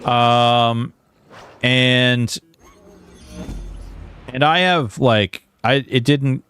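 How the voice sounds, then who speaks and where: A man in his early forties chatting casually and haltingly to livestream viewers while gaming, informal and self-deprecating, with some mild swearing. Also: medium pitch, fairly even intonation, fairly bright tone, soft background music. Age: early 40s. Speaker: game livestreamer.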